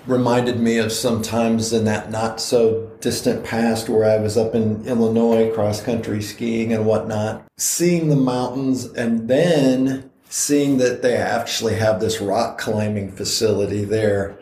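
A man's voice: fairly low pitch, little pitch movement, measured pace, hardly any pauses, warm tone, roomy sound. Man, middle-aged, casual co-host, rambling with restarts, faint hum behind. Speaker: podcast co-host. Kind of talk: casual chat.

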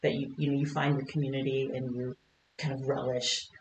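A middle-aged woman from Kentucky, a podcast guest, talking in a warm, chatty way with frequent laughs and fillers. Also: a bass voice, flat delivery, fairly quick, reverberant, a little hiss or hum, rough recording. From Kentucky, United States. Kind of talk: conversational interview. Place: podcast studio.

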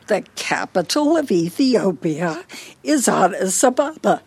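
An actress with an American accent playing a bossy, forgetful, scolding older wife in a radio play. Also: female voice, medium pitch, big pitch swings, a little slow, darkish tone, breathy edge, heavily acted. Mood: pensive, hesitant. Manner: scolding, fussy.

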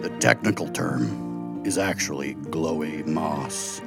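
A man playing a big friendly bear guide in a children's audio drama, theatrical, formal and gently teasing. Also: a bass voice, slow pace, gravelly, loud music behind the voice, exaggerated voice. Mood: wry, teasing.

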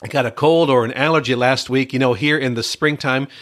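A man's voice: medium pitch, steady tone, fairly quick, a bit bright. A middle-aged man from Michigan hosting his own podcast as a pastor and coach, talking earnestly with a congested, slightly wheezy voice and frequent fillers. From Michigan, USA. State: congested from a cold. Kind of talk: solo podcast monologue.

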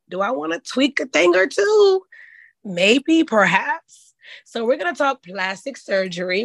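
Hoarse woman hosting a chat show. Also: medium pitch, a bit bright, no echo. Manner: folksy and candid, says "child". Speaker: podcast host. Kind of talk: casual chat.

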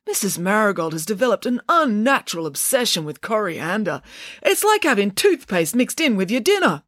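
A woman's voice: medium pitch, very animated, moderate pace, a bit bright, no echo, studio quality, pitched above the performer's own voice. A woman voicing a dim but affable, befuddled schoolboy who is unwell, in an audiobook. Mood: disgusted.

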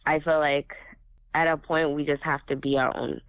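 A man's voice: a little high, little pitch movement, normal speed, creaky, clean tone, no room sound. A man raised speaking Swahili as his first language, telling his story in an earnest, reflective casual chat. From Africa. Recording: tinny phone-like sound.

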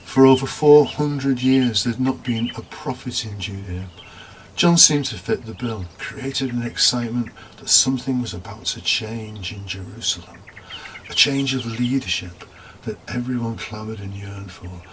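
A man preaching as a deacon, reading a prepared homily in a measured, deliberate way. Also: mid-range voice, fairly bright tone, a little hiss or hum, low-quality recording. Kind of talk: homily, read from prepared text.

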